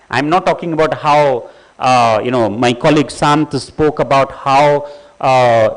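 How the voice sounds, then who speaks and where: A man moderating a conference panel, speaking haltingly with frequent uhs. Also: a little high, poor audio. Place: conference hall.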